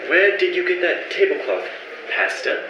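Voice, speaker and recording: Man playing a lonely husband, bright, echoey, distant. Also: high-pitched, big pitch swings, normal speed, muffled recording, slight background noise, full character voice. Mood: puzzled. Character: lonely, grieving Austrian husband separated by war. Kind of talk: amateur old-time radio play acting. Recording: echoey, distant mic, faint music.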